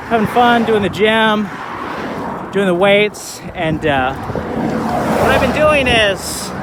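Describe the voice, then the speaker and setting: A man in his thirties talking casually in a vlog, relaxed and rambling with lots of fillers, recorded outdoors while skating. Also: sits high, noisy background. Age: 30s. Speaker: vlogger.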